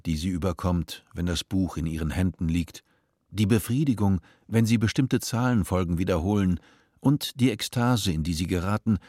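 A man narrating a radio play in a measured, serious tone. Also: low voice, darkish tone, dry recording, studio quality. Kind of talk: scripted narration.

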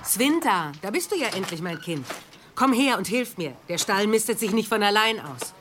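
An actress plays a hard-working peasant mother in a radio play. She is stern and practical, with lively, varied pitch over clearly audible background noise. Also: lightly acted. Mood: brisk. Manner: brisk, no-nonsense. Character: stern, practical farm mother who keeps everyone working. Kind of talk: German audio drama acting.

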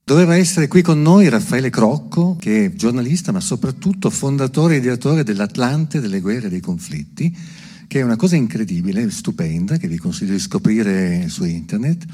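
A man's voice: mid-range voice, expressive, moderate pace, warm tone, husky. A man presenting at a public event, giving an informal spoken introduction.

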